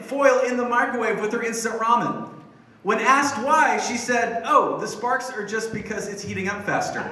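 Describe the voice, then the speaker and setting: A man's voice: sits high, sharp tone, far from the mic. A young man speaking as a youth pastor on a church stage into a handheld mic, upbeat and chatty, with many fillers and restarts. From Philippines. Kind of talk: sermon. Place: church stage with band gear. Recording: echoey room, voice from afar.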